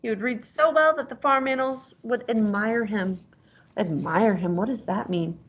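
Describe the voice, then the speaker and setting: A woman's voice: fairly high pitch, moderate pace, slightly rough, dull audio. A woman reading a storybook aloud like a teacher, encouraging and prompting the listener with questions.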